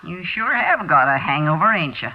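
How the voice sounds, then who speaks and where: A woman's voice: sits low, very animated, moderate pace. A swooping, nagging American landlady. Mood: scolding, impatient. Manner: brusque, scolding. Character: nagging, impatient landlady demanding rent. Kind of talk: 1940s radio drama acting. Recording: lo-fi 1940s radio recording.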